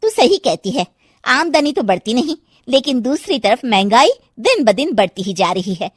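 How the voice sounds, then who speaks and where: A woman playing a worried, timid housewife in a cartoon story, plaintive and husky. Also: a little high, sing-song, moderate pace, no echo, studio quality. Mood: complaining. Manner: plaintive, complaining. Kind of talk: cartoon moral-story acting.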